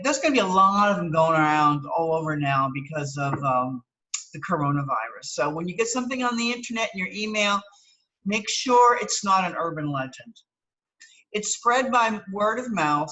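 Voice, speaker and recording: A woman's voice: lowish voice, pushed and bright, rough recording. A woman with an American accent teaching through a read-aloud, adding explanatory asides and often checking in with "okay?".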